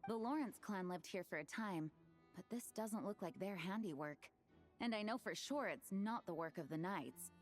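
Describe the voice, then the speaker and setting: A medium-pitched, cool-headed lady knight voiced by a woman. She sounds matter-of-fact in a video game style, with faint music behind. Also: expressive, moderate pace, lightly acted. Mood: assessing.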